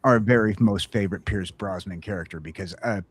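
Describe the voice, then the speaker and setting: A man hosting a podcast, chatting casually in a rambling, halting way with frequent false starts. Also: fairly low pitch, slightly rough. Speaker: podcast host. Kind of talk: casual chat about film franchises.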